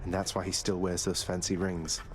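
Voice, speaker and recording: An actor playing a wry, rebellious young prince of the Underworld in a video game. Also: male voice, low-pitched, completely flat delivery, moderate pace, slight background noise, lightly acted.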